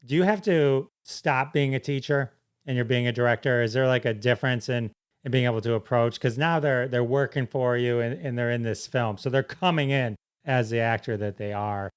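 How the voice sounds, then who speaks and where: A man hosting a podcast with a smooth, resonant voice at a middle pitch. He asks his questions in a relaxed, chatty way, easing in with fillers. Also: moderate pace. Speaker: podcast host. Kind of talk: casual podcast interview.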